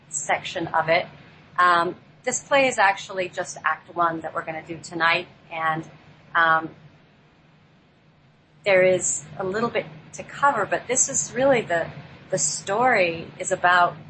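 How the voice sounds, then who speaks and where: A woman guest on a talk show, speaking off the cuff in a halting way as she searches for her words. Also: fairly low pitch, pushed and bright, slight background noise, rough recording. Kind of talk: unscripted talk introducing a play.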